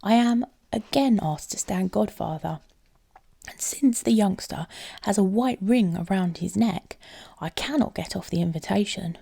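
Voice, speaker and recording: A woman's voice: medium pitch, a little slow, dark tone. A woman voicing a sly, greedy male cat in a storybook, smug and dismissive, with emphatic delivery. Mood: smooth pretence. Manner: smooth-talking, dismissive, emphatic. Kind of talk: storybook character voice.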